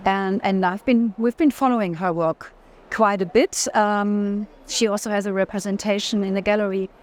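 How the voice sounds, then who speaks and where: A woman talks through art in a medium-pitched voice, unscripted and conversational. She often pauses to search for a word, then rephrases and carries on. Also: steady tone. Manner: hesitant, searching for words, rephrasing. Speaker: art collector in a gallery conversation. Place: art fair.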